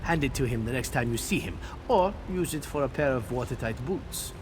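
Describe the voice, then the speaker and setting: An actor playing a blunt, weary warrior turned town official in a fantasy video game, dry and officious. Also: male voice, mid-range voice, lively intonation, a little fast, noisy background, lightly acted. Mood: dry, sardonic.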